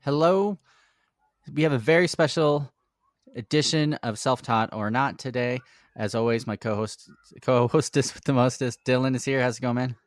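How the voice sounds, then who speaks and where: A man hosting a software development podcast, asking his guest questions in an upbeat, friendly way. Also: mid-range voice, normal speed, no room sound, studio quality. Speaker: podcast host. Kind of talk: podcast interview.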